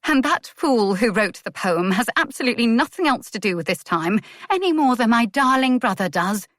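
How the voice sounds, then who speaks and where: A woman voicing a proud young society lady, speaking up higher with an indignant, pleading edge. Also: lively intonation, fairly quick, a bit bright, dry recording, studio quality, close to an everyday voice. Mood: hurt.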